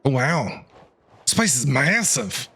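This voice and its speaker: A woman's voice: rumbling low, sing-song, slow, a bit bright, slightly rough. A woman streaming a game with casual, chatty live reactions, talking back to the characters over faint game music.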